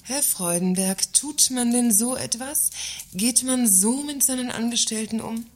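Casting: husky female works councillor played by an actress, arch and theatrical, scheming and defiant, satirical radio play. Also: a little high, unhurried, darkish tone. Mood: defiant, mischievous.

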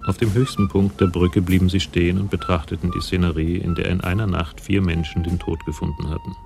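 A male narrator of a radio crime drama. His voice is very low with a gravelly creak, telling the detective story in scripted prose over music. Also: steady tone, brisk. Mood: measured storytelling. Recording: music behind the voice.

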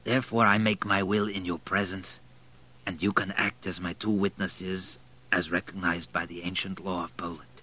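A man voicing a dignified, resigned old blind baron in an audiobook, slow and laboured, weak and breathy, sinking toward whispers. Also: sits low, little pitch movement, sharp tone. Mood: solemn, failing. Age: older.

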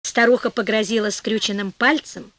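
A woman narrating a fairy tale audiobook with expressive, deliberate phrasing. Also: mid-range voice, no room sound.

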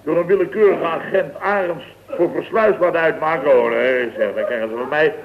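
An actor playing an indignant, sarcastic company boss of about fifty in a radio comedy, emphatic and repeating himself. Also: male voice, on the high side, normal speed, natural voice. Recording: tinny, narrow-band old radio recording.

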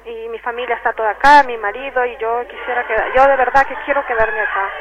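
Ecuadorian lady w high voice giving testimony on a muffled phone call. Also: steady tone, fast talker. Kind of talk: personal testimony in a radio phone interview. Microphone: telephone. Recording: muffled, noisy telephone line.

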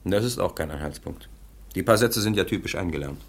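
A brusque, commanding police commissioner in a radio play. His low voice swings widely as he barks orders and questions. He is stern and impatient. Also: lively intonation, a little fast, gravelly, heavily acted. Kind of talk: 1950s-style radio play acting.

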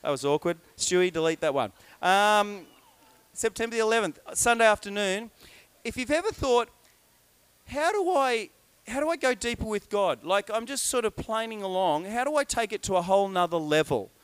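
A male pastor with a high, smooth, clean voice, recorded close and dry. He runs through church announcements in a chatty way, tossing in jokes and asides before he leads a prayer. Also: animated, normal speed, a bit bright, dry recording. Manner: jokey, chatty, breaks off into asides.

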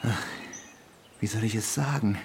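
An actor playing a naive, lovestruck young ape-human student in a radio drama, dreamy, poetic and halting. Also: male voice, fairly low pitch, noisy background. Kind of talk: German radio drama acting.

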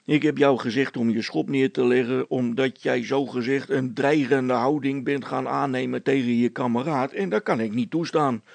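A man's voice: medium pitch, fairly even intonation, moderate pace, gravelly, hardly any pauses, dry recording. A man voicing an officious village constable who admonishes people in colloquial speech, in a storybook tale. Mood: firm. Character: officious male village constable keeping order.

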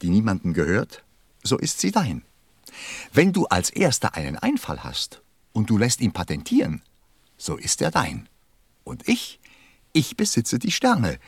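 Gravelly, pompous, busy businessman. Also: fairly low pitch, huge pitch swings, normal speed, dry recording, studio quality, exaggerated voice. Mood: self-important. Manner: pompous, testy, muttering, swooping. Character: busy, self-important 54-year-old businessman counting stars. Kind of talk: radio play acting.

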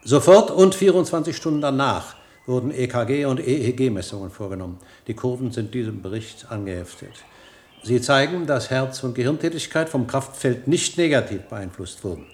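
An actor playing a confident, calculating scientist and physician in a German radio drama, brisk, clinical and assured. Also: male voice, mid-range voice, expressive, a little mellow, close to an everyday voice.

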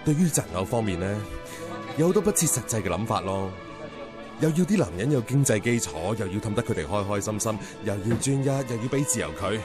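An actor playing a smug, blunt suitor who lectures pompously in Hong Kong Cantonese in a radio drama. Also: male voice, on the low side, animated, fairly quick, music in the background, close to an everyday voice. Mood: smug, preachy. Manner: pompous, preachy.

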